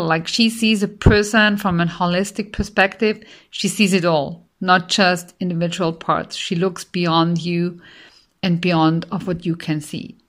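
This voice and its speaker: A woman from Austria with an Austrian German accent, a counselor and life coach, giving an earnest, enthusiastic client testimonial with occasional filler pauses. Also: lowish voice, fairly bright tone.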